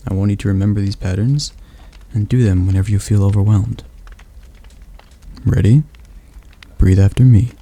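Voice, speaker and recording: A man voicing a patient male demon tutor who teaches magic in a calm, gently commanding ASMR roleplay. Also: fairly low pitch, moderate pace, long pauses. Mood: instructive.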